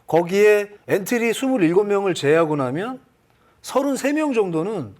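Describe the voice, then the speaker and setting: A man giving unscripted baseball analysis on a sports panel, explaining his reasoning in a measured way. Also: high-pitched, very animated, a little mellow, a little hiss or hum.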